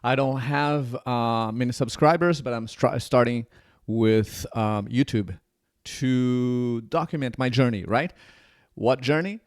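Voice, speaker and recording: A man's voice: medium pitch, slow, dry recording, clean studio sound. A man in his forties from Brazil with a Brazilian accent, talking casually to camera as a channel host, rambling with many restarts. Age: 40s.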